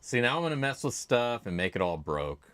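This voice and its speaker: A man hosting a casual livestream, chatty and laid-back, with a loud voice, talking into a desk microphone at his home streaming setup. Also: mid-range voice, sing-song, a little fast, clear, resonant tone, no room sound. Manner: chatty, laid-back, reads chat names aloud. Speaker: livestream host.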